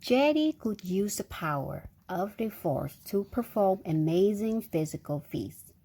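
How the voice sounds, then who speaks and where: A woman's voice: mid-range voice, animated, unhurried, dark tone, breathy edge. A woman reading a storybook aloud to a young child, breaking off for chatty, engaging asides and questions. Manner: engaging, prompting with questions and sound effects.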